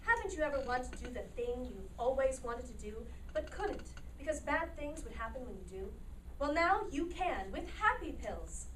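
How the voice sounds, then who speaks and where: A woman playing an upbeat, gushing pitchwoman in a parody commercial at a staged reading, with exaggerated cheer. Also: very animated, normal speed, fairly bright tone. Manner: exaggerated cheer, reciting lists. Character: gushing, upbeat pitchwoman of a spoof pill advert. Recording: distant mic, noisy echoey room.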